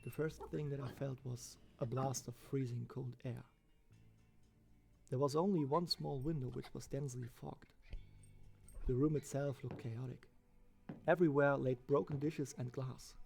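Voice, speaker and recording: A man reading a scripted first-person horror story as its narrator, with a gravelly voice. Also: medium pitch, fairly even intonation, normal speed, darkish tone, soft background music.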